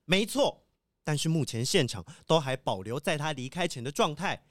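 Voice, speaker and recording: Earnest cartoon wolfdog sheriff voiced by an actor, dutiful, formal, lively intonation, dry close sound, children's radio play. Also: mid-range voice, normal speed, dry recording, close to an everyday voice. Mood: businesslike. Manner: formal, reporting. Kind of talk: children's radio drama acting.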